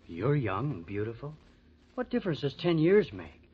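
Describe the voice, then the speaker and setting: Lovesick young artist in a 40s radio play, really raspy and impassioned, muffled old recording. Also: male voice, mid-range voice, big pitch swings, moderate pace. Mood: bitter, ardent. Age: young adult. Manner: impassioned, pleading. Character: hot-headed lovesick young male artist. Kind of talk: 1940s radio drama acting.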